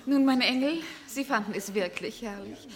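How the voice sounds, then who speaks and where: A woman's voice: fairly high pitch, normal speed, natural voice. A woman playing a grand, affectionate aristocratic salon hostess in a radio drama. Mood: tender, teasing. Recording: long reverberant hall, set back.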